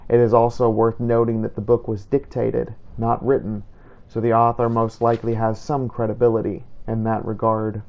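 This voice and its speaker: A man narrating a video game playthrough in character, telling it as a first-person story in a measured way. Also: fairly low pitch, completely flat delivery, lo-fi sound.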